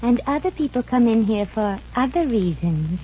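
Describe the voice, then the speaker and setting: A woman's voice: fairly high pitch, animated, normal speed, natural voice. Brassy, wisecracking young woman an actress in vintage radio comedy. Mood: jealous sarcasm. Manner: sassy, sarcastic, slangy. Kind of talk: 1950s radio comedy acting. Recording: muffled, noisy 1950 radio recording.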